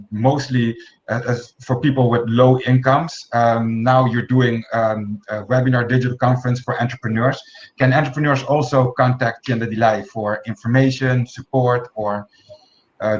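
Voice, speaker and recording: Halting man in his forties, echoey call. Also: medium pitch, a little hiss or hum. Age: 40s. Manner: hesitant, many fillers and restarts. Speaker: host. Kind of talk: moderating an online round table. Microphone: video call. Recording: echoey room, dull lo-fi sound.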